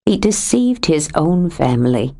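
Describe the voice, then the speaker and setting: A woman voicing a steady, plainspoken woman who talks calmly and firmly in an audiobook. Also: low voice, a little slow, exaggerated voice. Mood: earnest. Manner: calm and firm, gently blunt. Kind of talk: audiobook character reading.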